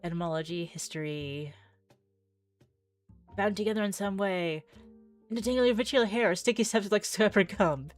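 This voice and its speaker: A woman's voice: mid-range voice, normal speed, long pauses, soft background music. A woman streaming a visual novel, reading the game text aloud with theatrical flair and chatty asides.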